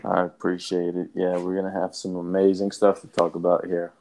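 A young man from Afghanistan co-hosting a casual podcast in an easygoing, even manner. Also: low voice, fairly quick, creaky, slight background noise. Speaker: podcast co-host.

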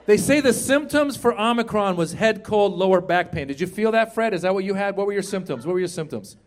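High-voiced, animated man on stage. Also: a little fast, some chatter behind. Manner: animated, shouts punchlines, jumps into high put-on voices. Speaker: stand-up comedian. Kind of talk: stand-up comedy set with crowd work. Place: comedy club stage before a live audience.

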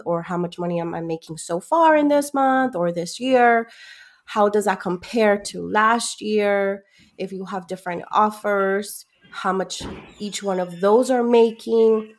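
A woman from Ecuador, a bookkeeper guest on a podcast, explaining things eagerly, step by step, with everyday examples. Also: mid-range voice. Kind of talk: explanatory interview talk.

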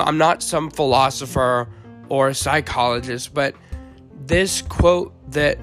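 A teenage boy, hoarse from the flu, hosting a faith podcast in an earnest tone with frequent ums and uhs. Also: mid-range voice, a bit bright. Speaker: podcast host.

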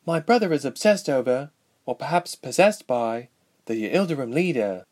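A man's voice: mid-range voice, expressive, moderate pace, a little mellow, pitched above the performer's own voice, close to an everyday voice. A man voicing a young, petite princess in an audiobook story, impatient and earnest, with an emphatic delivery. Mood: worried. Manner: exasperated, emphatic.

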